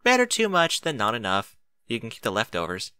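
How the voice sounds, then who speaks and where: A man's voice: medium pitch, wildly swooping pitch, brisk, bright, forceful tone, clean tone, no room sound. A man voicing a polite, earnest young female pony in a neat, friendly manner for a story.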